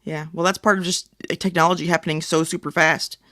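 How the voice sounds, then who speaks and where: A man's voice: a little high, flat delivery, fast talker, pushed and bright, dry recording, studio quality. A middle-aged man co-hosting a podcast in casual, conversational chat. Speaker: podcast co-host.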